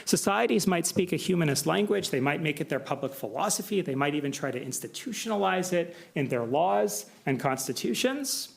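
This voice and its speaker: American man, academic speaker at a symposium; animated delivery, slightly raised pitch, measured explaining tone. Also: vocal fry. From United States. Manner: measured, explanatory, with asides and filler "right?". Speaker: lecturer. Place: lecture hall.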